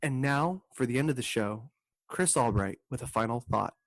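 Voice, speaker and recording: A man's voice: medium pitch, lively intonation, normal speed. A man hosting a comedy podcast with casual, playful, profane banter, acting out bits as he goes.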